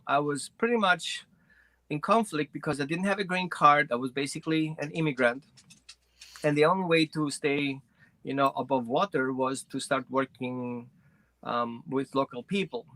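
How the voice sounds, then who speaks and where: Guy from greece telling his story, bright voice, kinda halting, dry close sound. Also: fairly high pitch, no echo, rough recording. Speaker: guest, dog trainer. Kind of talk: personal storytelling in an interview.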